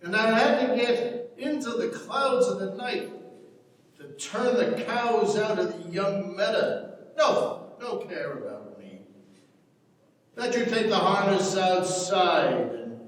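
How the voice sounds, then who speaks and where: Guy acting a proud gruff farmer dad, talks slow n weighty, big echoey hall far from mic. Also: high voice, expressive. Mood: gruff, complaining. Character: stern, proud patriarch farmer, gruff and land-hungry. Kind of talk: dramatic stage reading of an Irish rural play. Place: large reverberant hall, recorded from afar. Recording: echoey hall, distant microphone.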